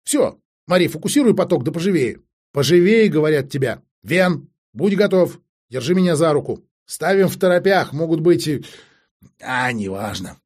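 A man's voice: on the high side, sing-song, normal speed, darkish tone, slightly rough. A man voicing a young sorceress who is haughty and scornful, scolding and giving commands in an audiobook fantasy story. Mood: urgent, commanding.

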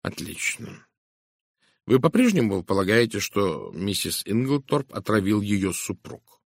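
A man voicing a shrewd, composed Belgian detective in an audiobook, speaking with measured courtesy and deliberate, probing emphasis. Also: fairly low pitch, sing-song, creaky, no echo, studio quality, heavily acted. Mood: calm, probing. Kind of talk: dramatised audiobook dialogue.

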